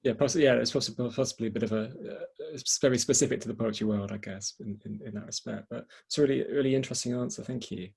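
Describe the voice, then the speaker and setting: A man hosting an online panel informally, speaking haltingly and often repeating words as he goes. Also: medium pitch, fairly even intonation, vocal fry, darkish tone, slightly rough. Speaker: event host and moderator.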